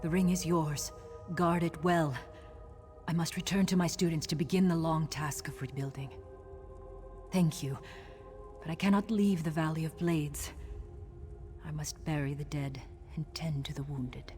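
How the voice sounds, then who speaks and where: An actress playing a dutiful, earnest teacher of warriors in a video game, grave and formal. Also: female voice, low voice, steady tone, measured pace, a little mellow, faint music behind. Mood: solemn, gracious. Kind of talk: dramatic video game acting.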